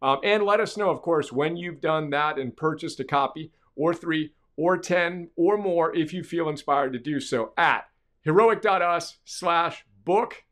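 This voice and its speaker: A man's voice: fairly high pitch, unhurried. A middle-aged man hosting his own show, reading a short excerpt from his book and then promoting it with upbeat energy. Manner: upbeat, energetic, gesturing while talking. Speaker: author and host. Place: dark studio with portrait wall.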